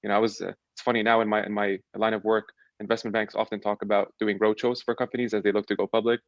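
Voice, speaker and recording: A young man talks fast and fairly flat in a low voice, chatty and relaxed, restarting his sentences often. The dry, dull sound of a video call carries him. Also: creaky, no room sound. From Lebanon. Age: young adult. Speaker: podcast guest. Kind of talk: casual chat.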